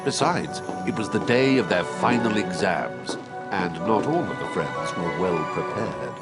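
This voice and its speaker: A man playing a dramatic, measured British narrator of a wizard tale in a video game, with suspenseful pauses. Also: low voice, expressive, loud music behind the voice. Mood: storytelling.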